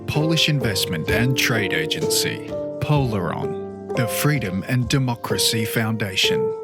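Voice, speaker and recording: A man with a low voice reads closing credits in an even, scripted manner. Loud guitar music plays behind him. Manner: measured read. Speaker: announcer.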